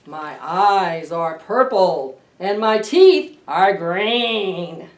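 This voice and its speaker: A woman voicing a big, scary but playful storybook monster, chanting boastfully in loud, drawn-out rhythm. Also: on the low side, animated. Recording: roomy, distant camera microphone.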